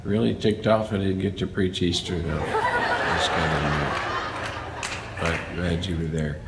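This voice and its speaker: A man's voice: deep voice, fairly even intonation, a little slow, over a noisy crowd. A man, a pastor just back from surgery, recording a short video message from a hospital room, his mouth dry and his voice weak. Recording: echoey hospital room with noise.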